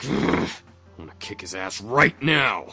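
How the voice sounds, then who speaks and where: A man voicing a hot-headed, protective young demon in a visual novel, blunt and expressive. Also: on the low side, takes it slowly, husky, soft background music, heavily acted. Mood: frustrated.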